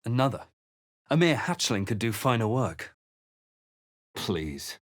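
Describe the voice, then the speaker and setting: A man playing a composed, brooding fantasy adventurer in a video game, telling events in a measured, dramatic way. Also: mid-range voice, very animated, no echo, studio quality. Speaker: player character voice. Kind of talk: scripted game narration and character lines.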